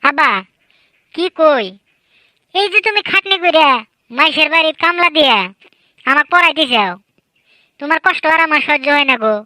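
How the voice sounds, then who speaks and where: A woman's voice: sits high, normal speed, pauses often, bright, forceful tone, no echo, poor audio. A woman voicing a sly, boastful, lazy teenage village son in a comedy cartoon, chatty and colloquial. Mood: pleading, dutiful.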